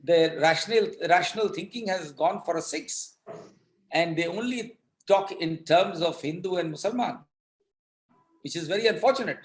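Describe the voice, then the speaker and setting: A man with an Indian accent speaks as a commentator being interviewed. His voice is notably high for a man, and he talks in halting, emphatic stretches with repeated words. The sound is very roomy and echoing. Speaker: political commentator.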